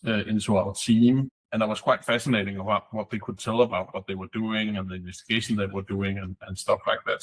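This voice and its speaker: Middle-aged man, low, word-searching. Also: slightly rough, poor audio. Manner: thoughtful, searching for words. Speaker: guest, fintech executive. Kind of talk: podcast interview.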